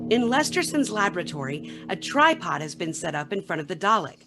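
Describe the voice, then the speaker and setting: A woman's voice: lowish voice, big pitch swings, fairly quick, music in the background. A woman reading scripted narration and stage directions for a radio play.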